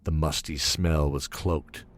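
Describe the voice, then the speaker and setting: A man giving solemn, measured, dramatic narration for a scripted fantasy story. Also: extremely low pitch, steady tone, background noise. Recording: loud music underneath.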